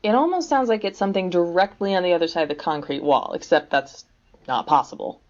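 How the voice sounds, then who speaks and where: A level-headed, skeptical woman played by an actress speaks low and matter-of-fact, with dry understatement. It is an audio drama in slightly muffled sound. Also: expressive, fast talker, a little mellow, natural voice.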